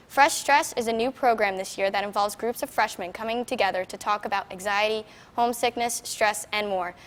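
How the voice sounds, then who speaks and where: A young woman reading scripted student news as an anchor. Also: on the high side. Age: young adult.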